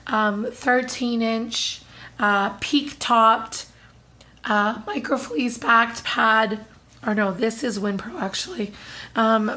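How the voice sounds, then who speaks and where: Hoarse chatty woman with a strained voice. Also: a little high, sharp tone. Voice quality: husky, strained. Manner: chatty, apologetic, many fillers. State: hoarse, recovering from lost voice. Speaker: vlogger. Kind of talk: casual monthly haul-style chat to camera.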